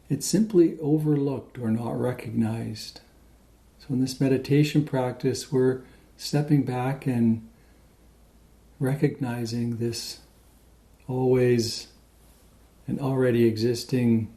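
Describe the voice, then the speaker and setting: Slow, mellow male meditation guide. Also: mid-range voice, steady tone, gravelly. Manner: slow, pausing, gentle delivery.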